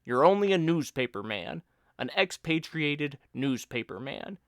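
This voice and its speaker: A lazy, wisecracking friend who mock-lectures and needles his companion, as a man reading the story puts him on. The voice sits higher and much louder than the narration, bantering and bossy. Smooth, resonant tone in a dry, close recording. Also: expressive, unhurried, no room sound. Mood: mock lecturing.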